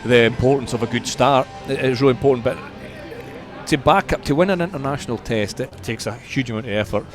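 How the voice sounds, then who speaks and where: A man giving conversational sports punditry as a radio guest, measured and repeating words for emphasis. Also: mid-range voice, music in the background.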